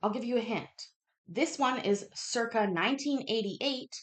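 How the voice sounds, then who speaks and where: A woman's voice: on the high side, normal speed. Candid, sweary woman hosting trivia. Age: 30s. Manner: candid, sweary, self-interrupting. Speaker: host of a live online trivia chat. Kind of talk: casual livestream chat, reading quiz questions aloud.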